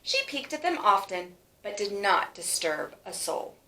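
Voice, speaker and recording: A woman reads a children's storybook aloud with animated delivery. Her voice is bright and forward, at a medium pitch, and she leans on key words. The room adds a clear echo. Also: a little slow, a little hiss or hum. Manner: animated, stretches words for emphasis. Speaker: storybook reader. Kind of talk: expressive children's read-aloud. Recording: roomy, echoing sound.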